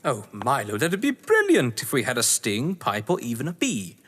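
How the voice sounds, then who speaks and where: An actor playing an older, upper-class British crime novelist, scheming and sardonic, in florid, pompous stage-drama style. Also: male voice, medium pitch, wildly swooping pitch, moderate pace, a bit bright, slightly rough, heavily acted. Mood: suave, scheming. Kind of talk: stage-play audio drama acting. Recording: echoey hall reverb.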